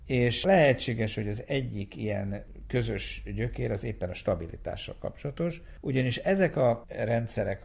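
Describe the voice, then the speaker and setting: A man's voice: mid-range voice, creaky, a little mellow. A man giving an expert spoken explanation in an explanatory manner, stressing key words. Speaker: scientist explaining network research.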